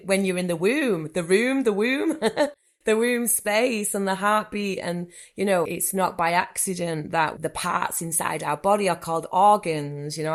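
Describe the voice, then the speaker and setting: A woman with a Mancunian accent, a guest therapist talking eagerly in an interview, often repeating words as she thinks aloud. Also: on the low side. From Manchester, England. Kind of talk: reflective conversational interview.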